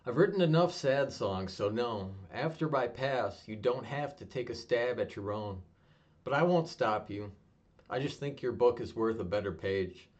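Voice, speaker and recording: A young man reading his own spoken word poem in a low-key, even delivery he calls monotonous. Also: moderate pace. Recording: dull lo-fi home recording.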